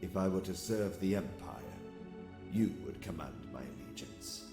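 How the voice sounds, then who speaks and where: Male actor as alien military strategist for sci-fi audio comic. Deep, formal, composed, calculating; music clearly underneath. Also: lively intonation. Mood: composed, confident. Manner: formal, measured, precise phrasing. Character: calm, exiled alien warrior strategist, courteous and calculating. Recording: music behind the voice.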